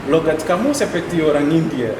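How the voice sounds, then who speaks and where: A man's voice: on the high side, lively intonation, quick pace, reverberant, distant mic, background noise. A man hosting a casual chat, asking curious questions in Indonesian and English. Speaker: host.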